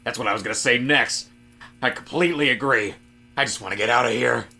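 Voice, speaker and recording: Man acting fed-up office worker, bright voice. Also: medium pitch, fairly quick, gravelly, faint music behind. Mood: gleefully defiant. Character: disaffected male office worker, increasingly fed up and rebellious. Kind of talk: comic audio drama dialogue.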